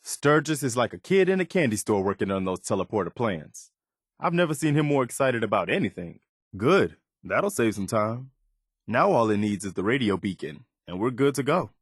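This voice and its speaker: An actor playing an earnest, weary militia soldier in a video game, loyal and humble, with a sincere, plain-spoken manner. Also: male voice, medium pitch, big pitch swings, normal speed, breathy edge, dry recording, clean studio sound. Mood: friendly, encouraging. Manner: sincere, plain-spoken, hesitant when opening up. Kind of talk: video game character dialogue.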